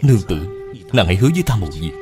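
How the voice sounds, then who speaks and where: An ailing young husband from an animated tale, played by a man. His low voice is tender and resigned, pleading earnestly with prominent music behind it. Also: racing, lightly acted. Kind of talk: animated film dubbing.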